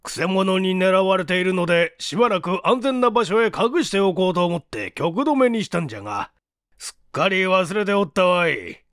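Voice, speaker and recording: A man voicing a gruff, forgetful old male professor with blustering, old-fashioned speech in an audiobook mystery. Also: sits high, expressive, normal speed, a bit bright, dry recording, clean studio sound. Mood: gruff, astonished.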